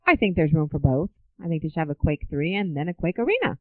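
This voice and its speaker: A middle-aged woman gives casual interview answers with very animated pitch movement. She is playful and blunt, keeping her phrases short. The recording is narrow and tinny like a telephone, with almost no room sound. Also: fast, no room sound. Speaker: interview guest. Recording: tinny, narrow phone-like line.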